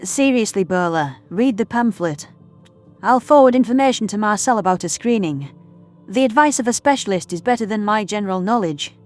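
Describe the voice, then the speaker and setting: Woman acting patient, firm scientist, mid-pitched. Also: animated, a little mellow, natural voice. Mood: firm, friendly. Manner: explanatory, measured, firm. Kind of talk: audio drama character acting. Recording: music under the voice.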